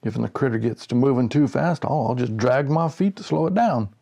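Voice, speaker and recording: A man reading a storybook, voicing a cocky, excitable backwoods outdoorsman with a folksy rural American accent. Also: medium pitch, brisk, creaky. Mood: cocky, scheming. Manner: folksy, exclamatory.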